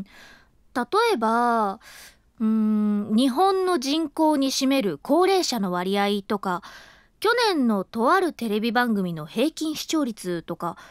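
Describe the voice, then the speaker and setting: A woman's voice: fairly high pitch, no echo, studio quality. An actress playing a tired young office worker who keeps apologizing and narrates her own thoughts. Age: young adult. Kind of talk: studio audio drama. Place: dry studio.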